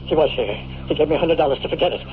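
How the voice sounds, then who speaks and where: A man acts a terrified weakling in a vintage radio drama. He gabbles and pleads in a slightly high voice. The sound is thin and phone-like with faint noise. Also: racing, lightly acted. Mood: panicked. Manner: panicky, pleading, blurting. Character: terrified cowardly man begging for his life. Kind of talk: 1950s radio drama acting. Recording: tinny, hissy old radio recording.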